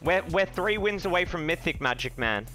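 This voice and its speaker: A young man streaming a card game from a small room, swearing freely and reacting loudly to each play. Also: a little high, normal speed, faint music behind. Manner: profane, excitable, shouting when upset. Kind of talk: live game stream commentary.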